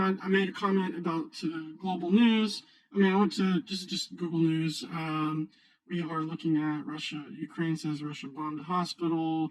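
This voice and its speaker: A man speaking through a voice changer, giving casual, halting, unscripted screen-share commentary as a video host. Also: fairly high pitch, no room sound, low-quality recording. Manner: halting, rambling, unscripted delivery. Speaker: video host, new finance YouTuber.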